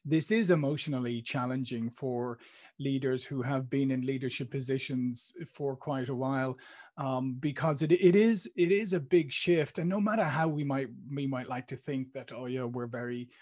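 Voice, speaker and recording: Irish man hosting a relaxed interview. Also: mid-range voice, moderate pace. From Ireland. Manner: affirming, thinks aloud with restarts. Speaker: interview host. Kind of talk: conversational video interview. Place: home studio with branded backdrop. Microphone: podcast microphone on stand.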